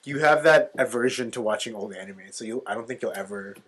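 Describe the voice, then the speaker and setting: A man's voice: mid-range voice, quick pace, a bit bright, breathy edge. A man co-hosting a podcast, explaining things casually like a knowledgeable fan in conversation. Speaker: podcast co-host. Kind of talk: casual fan chat about anime.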